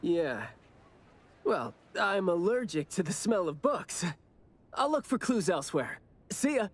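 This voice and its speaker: A glib anime game captain who shirks work. His delivery is breezy and teasing, with a lively, swinging pitch, as if every excuse were perfectly reasonable. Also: measured pace, heavily acted. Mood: evasive, breezy. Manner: breezy, teasing, self-justifying.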